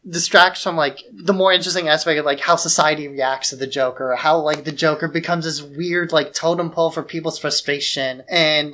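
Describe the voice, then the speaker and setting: A man's voice: fairly high pitch, sharp tone. A man co-hosting a film podcast, giving his take in informal, loosely phrased talk. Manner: informal, tentative phrasing with frequent "like". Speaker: podcast co-host. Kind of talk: casual film discussion.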